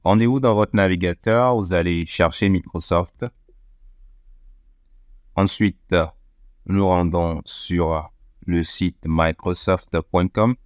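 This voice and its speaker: A man narrating a step-by-step software tutorial over a screen recording, methodical, with frequent hesitation fillers. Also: low voice, fairly even intonation, slow, long pauses, clean tone, no room sound, studio quality. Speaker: tech tutorial presenter.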